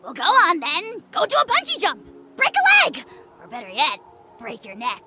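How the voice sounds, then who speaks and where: Tiny arrogant dragon lord, very high-pitched, swooping intonation, pompous, quick to rage, cartoonish video game creature. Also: female voice, huge pitch swings, full character voice. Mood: gleeful, taunting. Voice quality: shrill, creaky, cartoonish. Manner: pompous, haughty, theatrical, insulting. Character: tiny arrogant dragon lord, bombastic, spiteful, easily enraged. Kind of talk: video game character voice acting.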